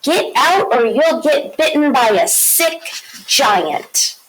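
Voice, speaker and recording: A woman's voice: high-pitched, animated, takes it slowly, a little hiss or hum, rough recording. A woman voicing a small, boastful boy who longs to be bigger, in an earnest, emphatic storybook reading. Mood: grumpy.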